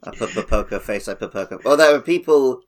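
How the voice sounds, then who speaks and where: A man with a medium-pitched voice chats as co-host of a gaming podcast. His delivery is casual and conversational, with a wry, joking streak. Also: expressive, quick pace.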